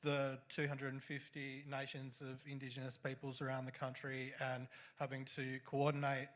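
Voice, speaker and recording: A young man, a university debater answering a question haltingly, with many restarts and fillers. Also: mid-range voice, monotone. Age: young adult.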